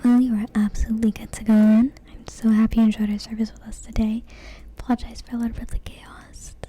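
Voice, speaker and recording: A woman role-playing a friendly nail salon technician, whispering softly close to the mic and slowly repeating words. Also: on the high side, flat delivery, husky. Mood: gentle. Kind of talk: ASMR whispered roleplay.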